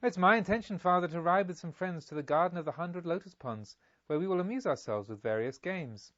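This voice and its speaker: A man's voice: high-pitched, expressive, a little fast, fairly bright tone, no room sound, muffled recording, pitched above the performer's own voice. A man voicing a polite, carefree young merchant's son in an audiobook, with eager, formal speech. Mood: polite, enthusiastic. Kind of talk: audiobook character dialogue.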